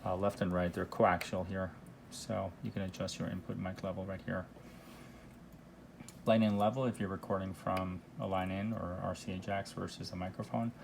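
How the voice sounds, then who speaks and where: Deep, gravelly man giving a casual demo. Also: flat delivery, slight background noise. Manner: unscripted, halting, explanatory. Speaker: presenter demonstrating vintage audio gear.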